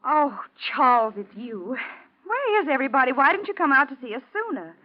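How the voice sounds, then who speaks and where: An actress playing a friendly, coaxing young lady of a plantation, encouraging and gently teasing, in 1940s radio acting style. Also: high-pitched, sing-song, fairly quick. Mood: worried. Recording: muffled, noisy old 1940s radio recording.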